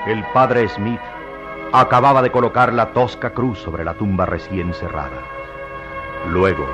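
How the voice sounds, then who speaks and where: An actor as the grave narrator of a radio mystery, telling it in a dramatic, deliberate and suspenseful way. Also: male voice, lowish voice. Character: grave omniscient storyteller of mystery tale. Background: music.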